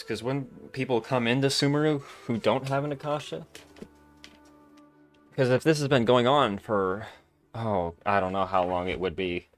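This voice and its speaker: A game streamer giving casual, musing commentary and thinking aloud while playing. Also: mid-range voice.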